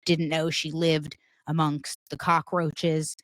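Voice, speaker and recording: A woman with a deep voice, guesting on a podcast and talking in a loose, rambling way full of "like". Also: little pitch movement, slow pace, dry recording, studio quality. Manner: rambling, heavy use of "like" fillers. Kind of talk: casual chat.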